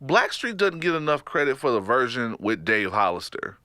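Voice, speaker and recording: Animated joking man from Texas. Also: medium pitch, moderate pace, no room sound. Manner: animated, joking, laughs often, trails off and restarts. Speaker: podcast co-host. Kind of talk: casual comedic chat.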